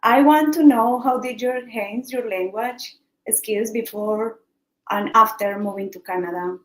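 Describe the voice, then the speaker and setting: A woman's voice: a little high, a little slow. A woman hosting an online interview, asking questions in an encouraging, chatty way. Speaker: interview host. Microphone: video call. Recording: echoey room on video call.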